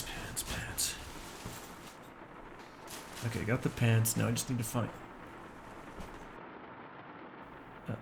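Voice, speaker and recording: A man's voice: mid-range voice, a little hiss or hum. A man playing a bossy but caring vampire in an ASMR roleplay, teasing and wry, speaking naturally with small hesitations. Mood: grumbling, fussing. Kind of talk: ASMR roleplay, one-sided dramatic dialogue.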